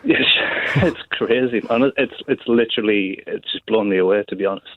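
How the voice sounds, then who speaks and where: Man, radio caller, casual hesitant storytelling, low voice, tinny phone line. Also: fairly quick, no echo.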